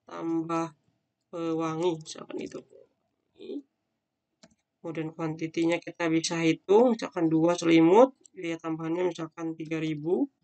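A man's voice: a little high, creaky. Man thinking aloud through a coding demo. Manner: casual, thinking aloud with fillers while demonstrating. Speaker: teacher. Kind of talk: screen-recorded coding tutorial. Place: at a computer, voice-over on screen recording.

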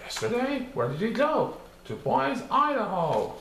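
Animated, higher-voiced man reading a storybook. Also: measured pace, gravelly. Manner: theatrical, rhythmic, sing-song delivery of verse. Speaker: storybook reader. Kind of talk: expressive children's picture book read-aloud. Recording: roomy echo with some background noise.

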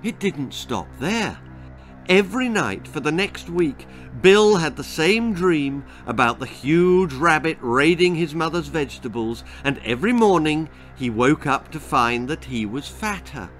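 Slow, older British male storyteller. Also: a little high, lively intonation, a bit bright, faint music behind. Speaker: author reading his own story. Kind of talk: dramatic children's story narration. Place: small indoor room lined with bookshelves.

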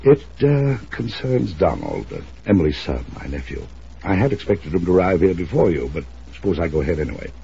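An actor playing a pompous, controlling older uncle in 1950s radio drama style, short-tempered and given to formal, blustering speech. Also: male voice, low-pitched, big pitch swings, brisk, vocal fry, warm tone, exaggerated voice. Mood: stiff, dignified. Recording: muffled hissy old radio recording.

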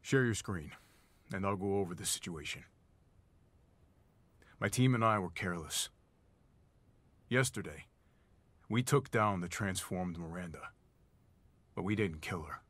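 A man's voice: low voice, measured pace, pauses often, fairly bright tone. A middle-aged man acting a hardened, weary military captain in a video game, terse and commanding. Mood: grim, subdued. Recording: echoey reverberant space, faint noise.

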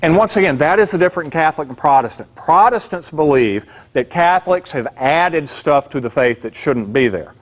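A man's voice: fairly high pitch, expressive, brisk, few pauses. A man giving a casual classroom lecture as an adult religion class instructor, plainspoken and conversational. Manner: conversational, plainspoken, many fillers and asides. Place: church classroom.

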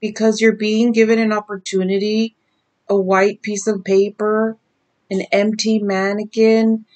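Woman in her 30s, tarot reader, sleep-raspy voice, very slow deliberate delivery, camera at desk distance. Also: mid-range voice, flat delivery, a little mellow. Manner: slow, emphatic, drawn-out warnings. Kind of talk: slow intuitive card reading to camera. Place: small decorated bedroom indoors.

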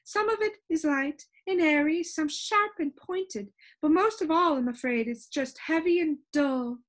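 Woman putting on a high, imperious storybook lady. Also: measured pace. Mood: proud explaining. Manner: exclamatory, theatrical, commanding. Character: bossy, fussy, imperious woman keeper of sounds. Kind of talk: children's storybook character voice.

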